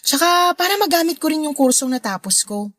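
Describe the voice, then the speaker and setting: An actress playing a supportive, affectionate married older sister in a Tagalog radio drama, with a Filipino accent. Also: female voice, high voice, animated, a little fast, fairly bright tone, no room sound, studio quality. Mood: proud, cheerful. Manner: encouraging, affectionate.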